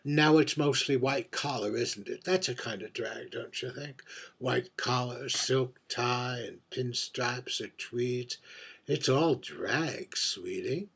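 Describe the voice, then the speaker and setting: Male actor as older drag queen, stage monologue: husky, unhurried, camp, wry. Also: mid-range voice, fairly bright tone, full character voice. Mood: wry, arch. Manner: camp, musing, tag questions and endearments. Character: aging world-weary drag queen, wry and theatrical, drinking at bar. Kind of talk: theatrical monologue.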